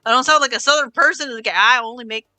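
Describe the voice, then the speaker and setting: A woman from Ohio co-hosting a casual podcast, animated and swearing a lot. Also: on the high side, quick pace, dry recording. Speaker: podcast co-host. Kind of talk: casual chat. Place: home streaming setup.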